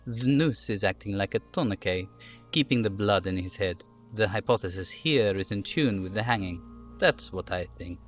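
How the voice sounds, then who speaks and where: A man playing a measured, analytical police detective in a game, composed and methodical in how he talks. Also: fairly low pitch, lively intonation, soft background music, lightly acted.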